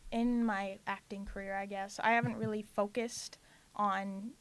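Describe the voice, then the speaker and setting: A woman's voice: medium pitch, level tone, measured pace. A young woman in her late teens chatting casually as a student guest in an interview, with somewhat hesitant phrasing.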